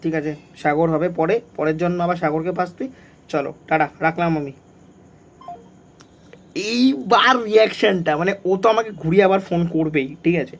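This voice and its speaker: A man's voice: a little high, normal speed, gravelly, slight background noise. A young man, talking casually and insistently, often repeating himself, as in a vlog or phone call. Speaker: prank video creator.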